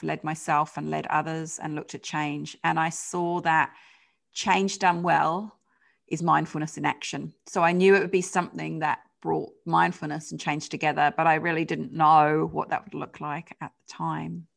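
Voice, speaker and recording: A middle-aged woman with a British accent speaks as an interview guest. Her voice sits low, with a gravelly, creaky edge. She talks in a relaxed, chatty way, full of ums and mid-sentence corrections. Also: unhurried. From United Kingdom. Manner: conversational, lots of fillers and self-corrections. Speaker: guest, change management consultant. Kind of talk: podcast interview.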